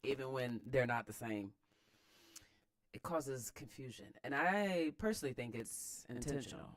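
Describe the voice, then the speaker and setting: A man's voice: medium pitch, lively intonation, moderate pace, fairly bright tone. An American man giving casual, opinionated commentary as host of a read-aloud, emphatic and slangy, repeating phrases for emphasis.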